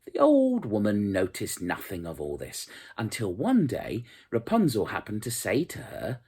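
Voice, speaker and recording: Storyteller for fairy tales: husky, dramatic, measured, with very animated intonation. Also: medium pitch. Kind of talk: scripted storybook narration.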